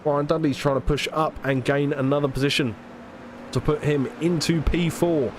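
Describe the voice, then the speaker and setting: British man, race commentator, stuffy nose from hay fever, slightly high voice, noticeable background noise. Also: steady tone. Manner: chatty, self-deprecating, quick play-by-play with fillers. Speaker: sim racing commentator. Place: alone in a commentary booth.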